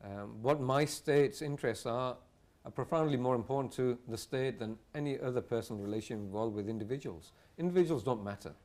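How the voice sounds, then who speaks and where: Earnest panel guest. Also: male voice, medium pitch, a little fast, darkish tone. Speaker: studio guest analyst. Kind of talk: TV panel discussion.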